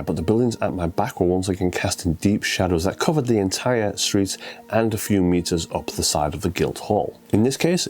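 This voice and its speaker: A middle-aged man with a cold, sounding stuffy and croaky as he talks through his photos in a relaxed, conversational way. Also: sits low. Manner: relaxed, conversational, a few fillers. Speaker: photography video host.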